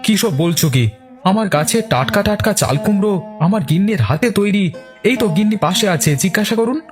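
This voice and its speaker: An excitable, touchy village man from a children's cartoon, voiced by a male actor. He talks fast in a slightly high voice over clear background music. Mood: defensive. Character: excitable, easily offended village men in a cartoon. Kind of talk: Bengali children's cartoon dialogue.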